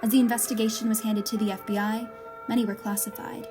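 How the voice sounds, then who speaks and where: An actress playing a serious, composed reporter, narrating and asking questions in a scripted investigative podcast. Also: female voice, medium pitch, flat delivery, natural voice. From Northern Virginia. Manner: measured, probing. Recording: music behind the voice.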